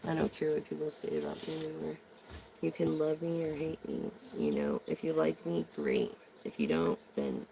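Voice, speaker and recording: Hoarse tarot reader with a gravelly, slow delivery; slangy and meandering. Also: medium pitch, mellow, a little hiss or hum, rough recording. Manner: slangy, meandering, many ums. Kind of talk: casual rambling tarot reading.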